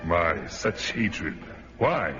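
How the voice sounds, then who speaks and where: An actor playing a hypnotic, menacing cult leader and sorcerer, smooth and commanding. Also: male voice, low voice, big pitch swings, very slow, exaggerated voice. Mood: mocking. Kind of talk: radio drama acting. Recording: dull lo-fi audio with loud music.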